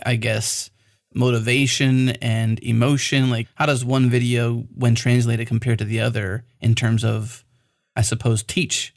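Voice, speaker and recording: A man of middle pitch hosting a relaxed tech podcast. He asks long, curious questions, restarting and hedging with "I suppose" as he works them out. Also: creaky. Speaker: podcast host. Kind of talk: casual podcast interview.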